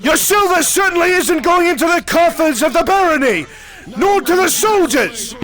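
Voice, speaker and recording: A man playing a proud, indignant war lord, making loud, formal accusations in a fantasy roleplay. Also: extremely high pitch, lively intonation, normal speed, voice pushed up, rough recording. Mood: furious.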